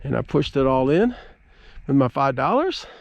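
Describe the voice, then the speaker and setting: A middle-aged man giving a casual, halting, folksy account as he vlogs outdoors at a park. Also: mid-range voice, sing-song, no room sound. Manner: halting, folksy, stop-and-start storytelling. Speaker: amateur radio operator vlogging. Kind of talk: casual rambling outdoor vlog. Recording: clear ambient noise outdoors. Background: ambient outdoor noise.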